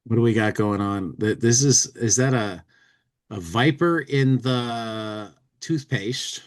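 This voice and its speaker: A man's voice: medium pitch, takes it slowly. A man hosting a podcast, chatting casually and reading comic lines aloud in a playful, dramatic way. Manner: acts out speech-bubble lines playfully. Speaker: podcast host reading an old humor magazine aloud.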